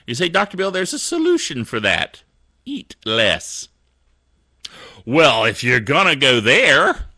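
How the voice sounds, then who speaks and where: Animated gravelly-voiced man hosting tech news. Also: a little high, no room sound, clean studio sound. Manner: jokey, self-mocking, chuckles often. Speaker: tech news podcast host. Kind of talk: casual tech news chat.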